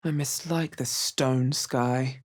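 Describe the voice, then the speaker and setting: A woman playing a wary, wry female adventurer in a fantasy video game, with a bassy, husky voice and a measured, understated delivery. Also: steady tone, full character voice. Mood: wary, musing. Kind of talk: video game character voice lines.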